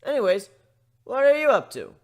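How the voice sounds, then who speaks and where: A man voicing a cocky, sarcastic young male griffin in a story reading, teasing and deadpan. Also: medium pitch, moderate pace, voice pushed up, natural voice. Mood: casual, proud.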